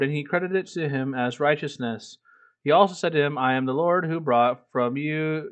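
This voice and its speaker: A man reading a book aloud as a streamer, breaking off into casual, irreverent, swearing commentary. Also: fairly high pitch. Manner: irreverent, profane asides.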